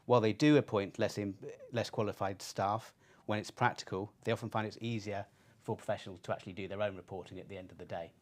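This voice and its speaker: A middle-aged man presenting informally on a webinar, speaking haltingly with pauses. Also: on the low side, steady tone, a little mellow. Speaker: panelist presenting research.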